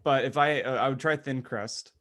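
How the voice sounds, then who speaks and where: A man's voice: medium pitch, moderate pace, fairly bright tone. A man hosting a casual podcast conversation with wry, teasing banter. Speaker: podcast host.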